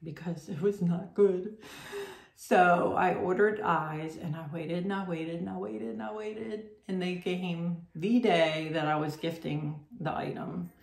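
Chatty woman with a gravelly voice. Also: fairly low pitch, fairly even intonation, measured pace. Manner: rambling, chatty, many ums and self-corrections. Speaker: crochet podcast host. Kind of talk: casual chat to camera. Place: home room with red striped wallpaper, indoors. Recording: roomy echo.

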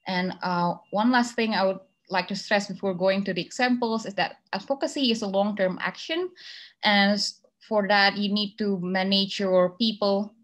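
A woman's voice: medium pitch, normal speed. Young Indonesian woman giving an online talk. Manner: hesitant, many fillers and restarts. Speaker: masterclass speaker. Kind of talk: online presentation with slides. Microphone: video call.

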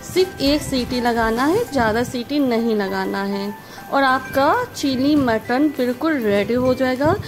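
A woman's voice: high-pitched, lively intonation, a little slow. A woman host giving a friendly step-by-step recipe voice-over, repeating emphatic praise for the dish. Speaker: cooking channel host. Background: background music.

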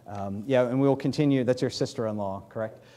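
A man in his thirties with a New England accent, a pastor giving church announcements and leading prayer at a podium mic, speaking haltingly with many fillers. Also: medium pitch, mellow. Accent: New England American. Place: large church sanctuary, in doors.

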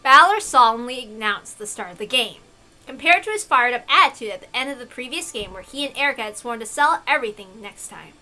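A woman reads a visual novel as narrator. Her voice is high and bright, and she slips from scripted prose into casual commentary. Faint music plays behind her. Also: animated, quick pace, low-quality recording. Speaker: reader and narrator.

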